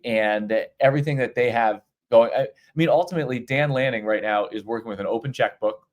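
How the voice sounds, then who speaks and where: A middle-aged man co-hosting a podcast, talking college football casually with many restarts and repeated words. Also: medium pitch. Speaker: podcast co-host. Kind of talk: casual sports talk.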